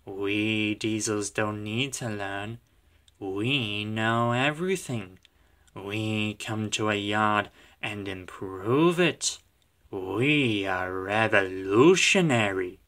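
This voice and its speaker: A man voicing a conceited, sly diesel engine in a storybook, talking in a slow, condescending, self-important way. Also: lowish voice, a bit bright.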